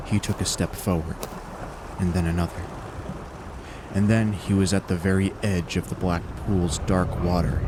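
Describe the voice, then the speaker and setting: A man with a deep voice narrates a horror tale over a clear bed of ambient noise. His delivery is deliberate and suspenseful, with dramatic pauses between phrases. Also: darkish tone.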